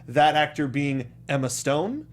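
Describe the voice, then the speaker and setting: A man's voice: a little high, animated, measured pace. A man hosting a casual panel chat about video games, giving eager opinions with hesitant restarts. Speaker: show host.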